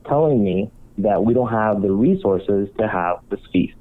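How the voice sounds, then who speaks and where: A man's voice: lowish voice, normal speed. Tinny-sounding Cuban-born man telling his story. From Cuba. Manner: calm, reflective storytelling. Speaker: guest, marriage counselor. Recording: tinny phone-line sound.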